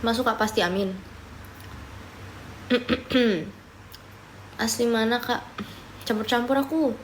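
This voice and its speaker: Young woman, live streamer, casual comment chat, slips into English, fairly high voice, faint room hum. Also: takes it slowly, low-quality recording. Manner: chatty, often switching into English mid-sentence; talks while eating and sipping a drink. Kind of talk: casual livestream chat answering viewer comments.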